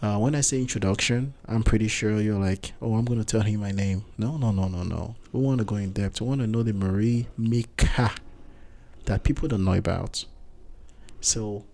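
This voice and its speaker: A man's voice: fairly low pitch, normal speed, a little mellow. A man hosting a podcast interview in a studio, chatty and teasing, often repeating himself. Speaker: podcast host.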